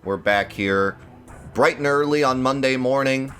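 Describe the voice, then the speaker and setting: A man hosting a gaming channel, sounding a bit nasal and congested from a cold, talking casually and haltingly with lots of fillers as he airs his gripes. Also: mid-range voice, fairly bright tone. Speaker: let's play host. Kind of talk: casual gaming commentary to viewers.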